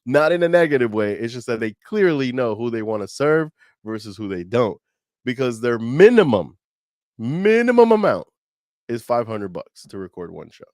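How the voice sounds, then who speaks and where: A man co-hosting a podcast in a loose, animated way, dropping slang and fillers as he talks. His voice sits in an ordinary middle pitch. The sound is close and dry, with no room around it. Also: moderate pace, no echo. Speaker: podcast co-host. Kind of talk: casual podcast chat.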